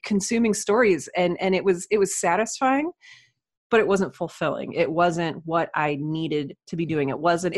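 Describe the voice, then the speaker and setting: A woman in her thirties speaks in a fairly low register. She tells personal stories in a casual, self-deprecating way, as a guest on a podcast would. The sound is dry, with very little room. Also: no room sound. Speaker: interview guest, writer and podcaster.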